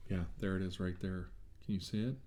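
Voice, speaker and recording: A man with a lowish, very mellow voice performs an ASMR medical roleplay. He plays the examiner, speaking to the patient in a reassuring and attentive way. His tone is dark and relaxed. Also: brisk, slight background noise. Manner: reassuring, attentive bedside talk.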